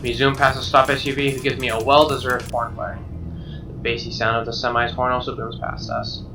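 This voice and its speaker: A man reading a visual novel aloud as dramatic, expressive narration, with game music behind him. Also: mid-range voice, fairly quick, vocal fry. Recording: slightly distant mic under game music.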